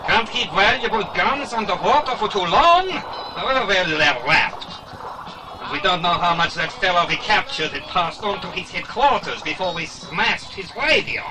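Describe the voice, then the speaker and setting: Ruthless high-voiced smuggler boss. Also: male voice, animated, unhurried. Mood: businesslike. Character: ruthless male boss of a gun-smuggling gang. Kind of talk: children's audio drama acting. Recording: noisy older dramatised audio recording.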